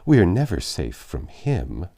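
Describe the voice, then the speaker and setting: A man's voice: low voice, wildly swooping pitch, measured pace, gravelly, mellow, exaggerated voice. A man voicing a vexed, scornful young Regency lady from a novel, with emphatic indignation. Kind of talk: storybook character reading.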